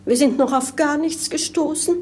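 A woman's voice: high voice, fairly even intonation, normal speed, darkish tone, close to an everyday voice. An actress playing an anxious, prim and romantic lady companion in a radio play, plaintive and sentimental. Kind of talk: theatrical German radio play acting.

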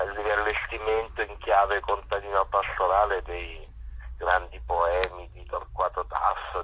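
A man, a musician being interviewed on radio, explaining things in a halting, thoughtful way. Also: sits low, sharp tone, breathy edge. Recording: muffled, band-limited phone-like radio sound.

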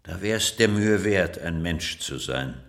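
A man's voice: deep voice, animated, slow pace, gravelly, a bit bright, heavily acted. Conversational, coaxing male art curator. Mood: reciting. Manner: conversational, coaxing, with rhetorical tag questions. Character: East Berlin museum curator, conciliatory, musing man. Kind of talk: naturalistic German radio drama dialogue.

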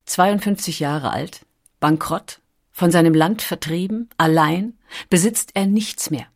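Female narrator, low and breathy-husky. Scripted historical storytelling, deliberate delivery with weighty pauses. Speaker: documentary narrator.

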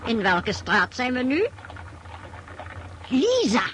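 A woman's voice: fairly high pitch, wildly swooping pitch, slow pace, fairly bright tone, slight background noise, heavily acted. A woman playing a querulous, demanding old countess in a radio drama, stammering as she nags. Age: older. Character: old countess, imperious, nagging, bombarding her companion with questions.

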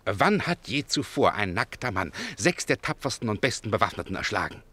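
Capricious Roman emperor, wildly swooping voice. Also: male voice, fairly low pitch, sing-song, a little fast, sharp tone, no room sound, exaggerated voice. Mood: exultant. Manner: pompous, theatrical, commanding. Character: capricious, grandiose Roman emperor, swinging from rage to flattery. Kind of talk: German radio play acting.

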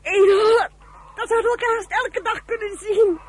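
Very high-pitched, spirited young woman. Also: steady tone, moderate pace. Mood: excited, indignant. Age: young adult. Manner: impulsive, emphatic. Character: spirited, excitable young sister in love. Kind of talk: radio drama acting.

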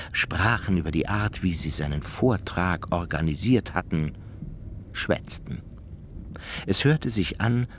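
A man's voice: sits low, a little hiss or hum. A man narrating a literary radio drama from a script.

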